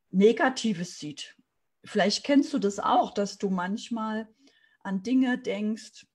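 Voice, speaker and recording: A woman's voice: a little high, darkish tone, breathy edge. A woman in her thirties from Saxony, a business coach telling a personal story in an earnest motivational talk to camera on a video call. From Saxony, Germany. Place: small room indoors.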